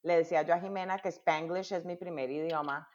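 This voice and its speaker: A woman hosts a casual interview in a lowish voice, stopping and restarting her sentences often and dropping English words into her speech. The sound is dull and lo-fi. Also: fairly even intonation, darkish tone. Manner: halting, many restarts, mixes English words. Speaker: podcast host. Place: lives in Dallas, United States.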